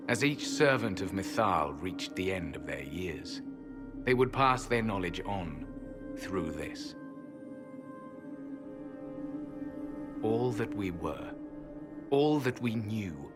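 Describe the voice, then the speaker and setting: An actor playing a proud, ancient elven temple guardian in a fantasy game, speaking in grave, formal, archaic phrases with disdain. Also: male voice, deep voice. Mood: disdainful, grave. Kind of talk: dramatic fantasy game acting.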